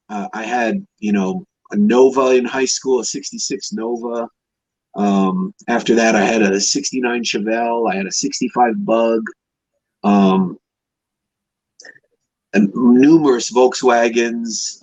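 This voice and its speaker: A man's voice: on the low side, darkish tone, no room sound. A man in his fifties from Hawaii, telling personal stories casually as a podcast guest, in a rambling, conversational way. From Hawaii, United States. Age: 50s. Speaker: podcast guest, classic car restorer. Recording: dull, dry lo-fi connection.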